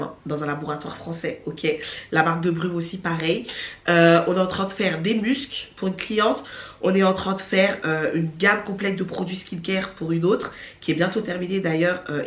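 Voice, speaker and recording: A woman's voice: on the low side, moderate pace, recorded from a distance. Chatty young woman with a cold, echoey room. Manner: chatty and animated, laughing often. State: stuffy nose from a cold. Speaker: vlogger and beauty entrepreneur. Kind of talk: casual vlog talking to camera. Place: at home.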